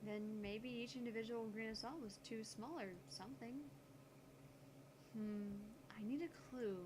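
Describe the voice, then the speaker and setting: Snippy young genius scientist acted by a woman, higher-pitched, analytical, visual-novel dialogue over clear background noise. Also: measured pace, natural voice. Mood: probing, impatient. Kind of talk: visual novel character dialogue read aloud.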